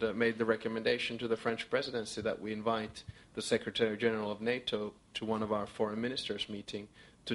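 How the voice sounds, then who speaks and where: A man speaking as a conference panelist, answering questions in a measured way and listing his points one by one. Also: fairly low pitch, a bit bright.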